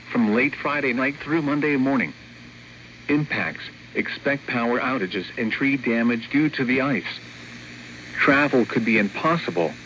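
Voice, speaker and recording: A synthetic man's voice reads a scripted weather alert over the radio in a formal, measured, impersonal way. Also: mid-range voice, fairly bright tone. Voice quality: synthetic text-to-speech voice. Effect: radio broadcast. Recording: dull lo-fi weather radio, clear static noise.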